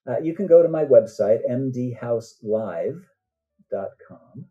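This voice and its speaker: A man speaking as a guest author in an interview, in an earnest, halting manner with deliberate pauses. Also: mid-range voice, expressive, faint music behind. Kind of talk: conversational interview answers. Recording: muffled, dull band-limited sound.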